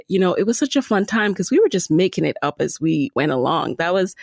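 A woman's voice: deep voice, dry recording, studio quality. A woman in her thirties with an American accent, telling her own story conversationally as a podcast guest. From born in Jamaica, raised in the Bronx, New York.

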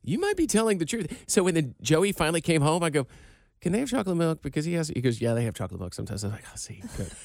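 Male co-host, animated storyteller with a self-mocking streak, dry studio sound. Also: on the high side, rapid-fire, creaky, dry recording. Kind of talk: casual radio-style chat.

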